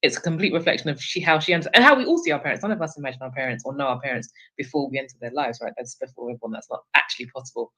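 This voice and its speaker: A woman talks at a very quick pace in a book club discussion. She is articulate and emphatic, building her argument point by point and often tagging it with a short question. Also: very deep voice, animated, sharp tone, slightly rough, poor audio. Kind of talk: discussion, literary commentary.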